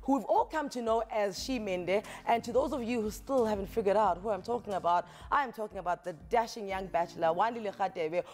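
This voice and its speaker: A woman in her thirties hosting a television talk show, chatty and playful, speaking on a lapel mic in a studio. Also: mid-range voice. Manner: playful, chatty, quick laughs. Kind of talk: casual studio interview. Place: tv studio set.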